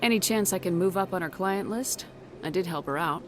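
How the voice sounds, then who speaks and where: An actress playing a blunt, self-assured military commander in a video game, asking things directly. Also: female voice, on the low side, a little fast, lightly acted. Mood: casual, dry. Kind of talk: video game character dialogue. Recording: faint music behind the voice.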